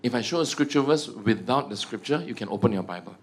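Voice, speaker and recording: Malaysian guy teaching at church, self-mocking jokes, tosses in "ah" and "huh" a lot, voice right at a normal pitch for a man. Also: lively intonation, fast talker. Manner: joking, self-mocking, tags sentences with "ah" and "huh". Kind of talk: humorous teaching talk to a prayer group.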